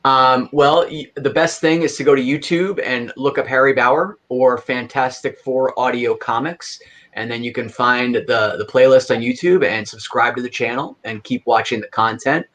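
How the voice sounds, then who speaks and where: A man guest on a podcast, talking in a relaxed, easygoing way and laughing often. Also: medium pitch, normal speed. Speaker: guest, fan film creator. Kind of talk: casual interview chat.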